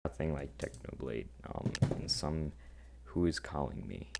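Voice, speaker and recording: A teenage boy with a deep voice, rambling awkwardly and putting himself down while commenting on his own gameplay. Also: animated, unhurried, gravelly, heavy background noise. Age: teens. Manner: rambling, self-deprecating, awkward. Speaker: gaming channel host.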